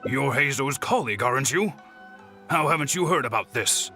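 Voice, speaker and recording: An actor playing a blunt, stubborn man of seventy in a video game, gruff and curmudgeonly. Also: mid-range voice, lively intonation, moderate pace, sharp tone, faint music behind. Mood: surprised, defensive. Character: gruff, grumpy seventy-year-old man, sharp and stubborn.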